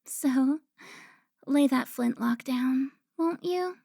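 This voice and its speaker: A woman playing a seductive, haughty vampire informant in an audio drama, teasing with drawn-out, theatrical delivery. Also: high-pitched, gravelly, dark tone, no room sound, studio quality, full character voice. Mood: haughty, taunting. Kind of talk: cinematic audio roleplay acting.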